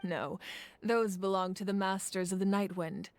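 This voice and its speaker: A woman's voice: mid-range voice, fairly even intonation, faint music behind, lightly acted. Composed warrior woman telling tales played by an actress. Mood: fond, explanatory. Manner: measured, thoughtful storytelling. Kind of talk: video game story dialogue.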